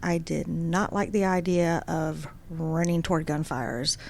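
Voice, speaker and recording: Earnest woman, lowish voice. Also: fairly even intonation, measured pace, creaky, darkish tone. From Georgia, USA. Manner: friendly, earnest storytelling. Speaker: guest, superior court judge. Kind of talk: casual interview.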